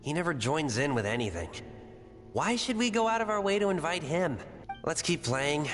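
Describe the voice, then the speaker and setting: An actor playing a dismissive, mocking young lad in a video game. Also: male voice, fairly high pitch, very animated, normal speed, fairly bright tone. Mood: taunting. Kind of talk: scripted video game voice acting. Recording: long reverb, faint music behind.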